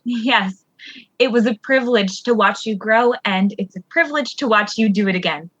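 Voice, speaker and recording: A woman playing a gentle, encouraging but easily flustered mentor in an audio drama, speaking in a halting, reassuring way. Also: medium pitch, no echo, dull audio, lightly acted.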